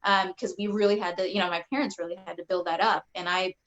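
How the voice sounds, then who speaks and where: A woman's voice: on the low side, steady tone, quick pace, a bit bright, lo-fi sound. A woman in her forties from Kentucky, a state representative, speaking earnestly on a video-call panel with frequent restarts. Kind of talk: panel discussion.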